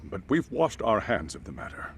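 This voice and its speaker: A man's voice: fairly low pitch, big pitch swings, normal speed, a bit bright, slight background noise. A man playing a guarded, formal head of school in an English-dubbed game cutscene, choosing his words carefully. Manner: formal, hedging, carefully worded.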